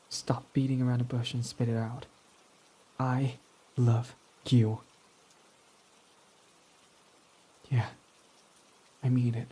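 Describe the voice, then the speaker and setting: A young man playing a guarded male villain who confesses his love, speaking softly and often sinking to a whisper in a romantic roleplay. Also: medium pitch, a little hiss or hum, lightly acted. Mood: tender, confessing. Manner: soft-spoken, often dropping to a whisper. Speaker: ASMR roleplay voice actor.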